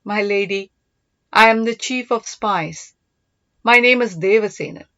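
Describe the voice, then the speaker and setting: A woman voicing a formal, deliberate, fatherly emperor who plays at being a spy chief in an audiobook. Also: medium pitch, sharp tone, lo-fi sound. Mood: official, stern.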